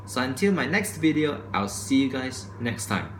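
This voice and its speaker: Young male student vlogger, mid-pitched, stumbling and restarting lines, camera mic in a roomy space with faint hum. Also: sing-song. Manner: stutters and restarts, says he speaks too quickly. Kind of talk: casual opinion monologue to camera. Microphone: camera microphone. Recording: echoey room with faint ambience.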